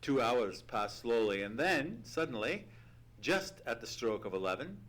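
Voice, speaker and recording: A man playing an earnest gentleman sidekick who narrates a mystery with theatrical, deliberate storytelling in an old-time radio play. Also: mid-range voice, big pitch swings. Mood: measured suspense. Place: theatre stage. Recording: humming, noisy live theatre recording.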